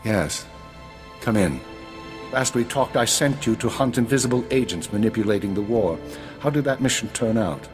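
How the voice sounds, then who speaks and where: An actor playing a grave, authoritative older intelligence minister, speaking in a measured, formal way in a video game. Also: male voice, fairly low pitch, lively intonation, over music, natural voice. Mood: grave, commanding. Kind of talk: video game drama acting.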